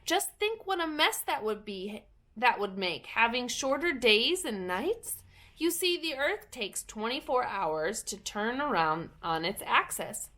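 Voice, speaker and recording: A woman's voice: fairly high pitch, big pitch swings, unhurried, bright, forceful tone, heavily acted. A woman voicing a polite, curious little girl in a storybook, speaking earnestly.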